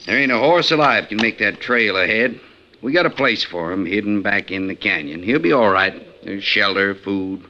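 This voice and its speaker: An actor playing a wary, bossy outlaw gang leader in an old radio western, with a folksy, menacingly polite frontier manner. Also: male voice, on the low side, moderate pace, pushed and bright. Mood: brisk, businesslike. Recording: dull, noisy 1950s radio recording.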